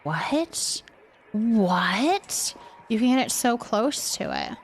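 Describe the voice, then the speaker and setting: High-voiced woman chatting on a game stream. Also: sing-song, drawn-out words, slight background noise. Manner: chatty, thinking aloud. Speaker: livestream gaming host. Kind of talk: casual chat while playing a building game.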